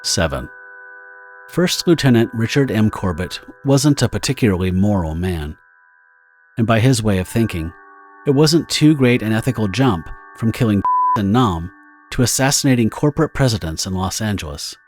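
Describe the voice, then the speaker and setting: A man narrating a scripted dramatic story in a measured, theatrical storytelling manner. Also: on the low side, animated. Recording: music under the narration.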